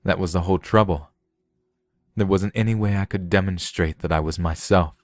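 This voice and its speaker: A man's voice: sits low, moderate pace, no echo, clean studio sound, natural voice. A man voicing a weary, accused man in a story, earnest and plain-spoken. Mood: weary, relieved. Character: weary accused man insisting on his own identity.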